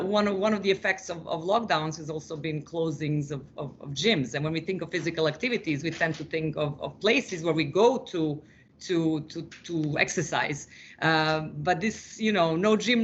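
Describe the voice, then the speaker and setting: Thoughtful moderator on a muffled line. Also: male voice, high voice, a bit bright. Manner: thoughtful, repeats words while searching. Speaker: panel moderator. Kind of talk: webinar moderation.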